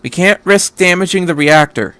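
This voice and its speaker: A man voicing a brisk, resolute female military officer in a story, commanding and matter-of-fact. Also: sits high, a bit bright, clear, resonant tone, no room sound, pitched above the performer's own voice. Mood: determined.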